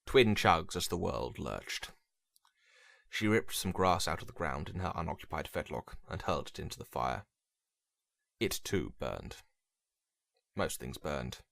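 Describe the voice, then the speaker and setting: A man reading dramatic fiction as a narrator, in a brooding, measured, theatrical way. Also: deep voice, expressive, creaky, a bit bright, no room sound, studio quality. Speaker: story narrator. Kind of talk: dramatic scripted story narration.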